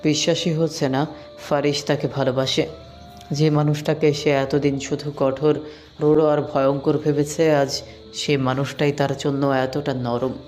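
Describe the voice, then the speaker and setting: Narrator reading a love story, pretty flat even tone, music behind. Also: medium pitch, moderate pace. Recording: music under the voice.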